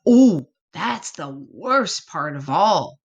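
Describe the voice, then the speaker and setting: A woman's voice: fairly low pitch, very animated, drawn-out words, slightly rough, muffled recording, exaggerated voice. A woman voicing a doting mama possum in a storybook, affectionate and dramatically cautionary. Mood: spooky, cautioning.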